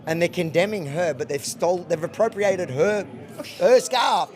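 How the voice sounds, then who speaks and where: A man doing street interviews outdoors at night, firing off provocative, quick questions. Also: sits high, darkish tone. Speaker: street reporter. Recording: noisy street crowd.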